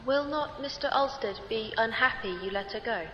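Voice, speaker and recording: A woman's voice: fairly high pitch, normal speed, pushed and bright. An actress playing a formal, inquisitive female companion with stilted phrasing in a sci-fi audio drama. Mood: formal, questioning. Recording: dull, echoing, reverberant, faint ambient noise.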